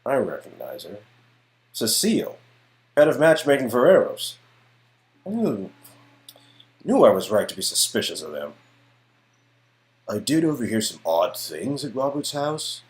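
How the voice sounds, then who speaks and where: Man acting a wry, skeptical friend, very lively pitch. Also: a little slow, long pauses. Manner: hesitant, wry, exclaiming. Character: skeptical, sardonic friends in an interactive story game. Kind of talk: amateur dramatic reading of game dialogue.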